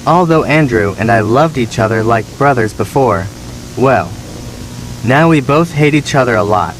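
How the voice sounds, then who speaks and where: A man playing a neglected, resentful younger son, telling his story as measured, plaintive scripted horror narration. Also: mid-range voice, animated, background noise, natural voice. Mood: bitter, sad.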